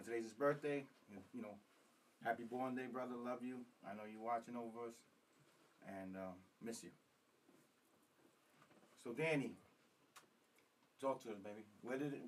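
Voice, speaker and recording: A man hosting a talk show, originally from Puerto Rico, chatting casually with many ums and pauses. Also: medium pitch. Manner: relaxed, hesitant with frequent ums. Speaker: podcast host. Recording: distant mic, faint room noise.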